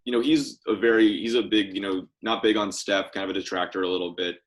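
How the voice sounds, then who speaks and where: A man with a low voice co-hosts a casual sports podcast. He puts his interview questions together hesitantly, a few words at a time. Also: steady tone, fast. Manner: hesitant, piecemeal phrasing. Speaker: podcast co-host.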